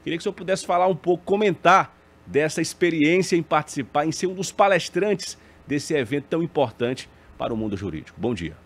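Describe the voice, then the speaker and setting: Higher-voiced TV host interviewing a guest. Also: male voice, expressive, brisk. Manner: conversational, asks with tag questions. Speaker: TV news host. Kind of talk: studio interview hosting. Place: TV studio.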